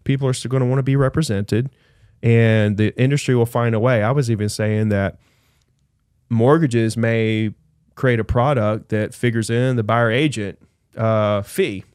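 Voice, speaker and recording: A man who works as a real estate agent, talking in an animated, chatty panel debate with lots of fillers. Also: medium pitch, normal speed, clean tone, dry recording, studio quality.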